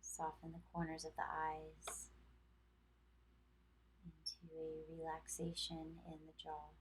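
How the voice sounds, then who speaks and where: A woman instructor leading a guided meditation in a calm, measured, soothing manner with long pauses. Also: low-pitched, slight background noise, poor audio. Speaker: yoga instructor.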